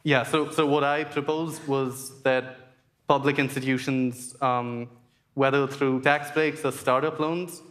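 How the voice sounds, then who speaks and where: A young man answering at length as a panel guest, earnest and measured with frequent fillers. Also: medium pitch, steady tone, fairly bright tone. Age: young adult. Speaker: essay competition finalist.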